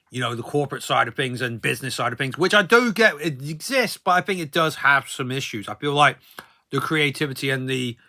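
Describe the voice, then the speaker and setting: A middle-aged man with a very bright, forceful voice pitched a little high. He talks in a casual, rambling vlog style, as if chatting through a personal story, and often drops in small filler tags. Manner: rambling, conversational, frequent verbal tags like "you know" and "though". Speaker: vlogger.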